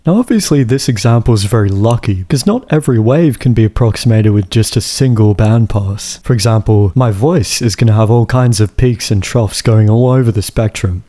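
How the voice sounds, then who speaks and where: A man giving a casual, step-by-step instructional narration, like a software tutorial host, with some dry humour. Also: fairly low pitch, brisk, hardly any pauses. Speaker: music production tutorial host. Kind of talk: instructional screen-recording narration.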